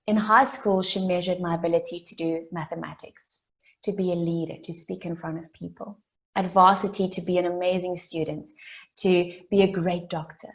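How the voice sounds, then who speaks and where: A South African woman in her late twenties, a native Afrikaans speaker, giving an earnest motivational keynote that builds its story through deliberate repetition. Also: fairly low pitch, steady tone. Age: late 20s. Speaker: summit speaker.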